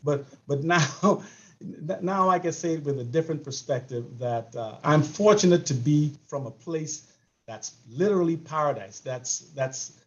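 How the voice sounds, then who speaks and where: A man in his sixties from the Bahamas with a Bahamian accent, telling a story as a panel guest, animated and often repeating his words. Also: on the high side, unhurried. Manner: animated, repeats words, laughs between phrases. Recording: muffled lo-fi audio.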